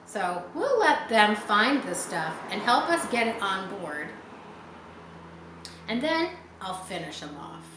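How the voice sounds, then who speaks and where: A woman's voice: medium pitch, big pitch swings, slow. Storybook pirate ringleader, male, acted by a woman: conspiratorial, chummy on top, ruthless underneath. Roomy sound. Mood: scheming, menacing. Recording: echoey room with faint background noise.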